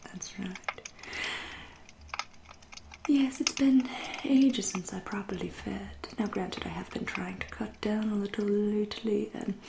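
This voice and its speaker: Woman as a soft, whispery English vampire lady. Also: mid-range voice, steady tone, echoey room, background noise, lightly acted. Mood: quietly menacing. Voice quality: soft, breathy, often whispery. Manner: genteel, deliberate, drawn-out phrasing with dry irony. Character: centuries-old aristocratic vampire woman, politely menacing, wryly proud. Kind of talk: ASMR roleplay monologue.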